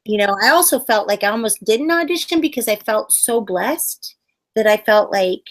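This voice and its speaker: A middle-aged woman puppeteer telling stories in a casual interview, bubbly and animated, acting out quotes in high voices. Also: normal speed.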